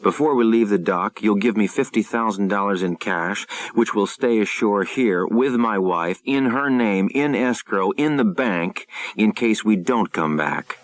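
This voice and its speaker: A man acts out a proud seafaring captain in an audiobook novel. The voice is low and blunt, with stubborn force behind it, recorded dry. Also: unhurried, no echo, close to an everyday voice. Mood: firm.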